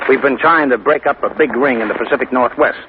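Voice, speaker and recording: An actor playing an earnest, businesslike federal narcotics agent briefing someone in a 1950s radio drama. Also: male voice, mid-range voice, quick pace, lightly acted. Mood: earnest, explaining. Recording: noisy, tinny 1950 radio recording.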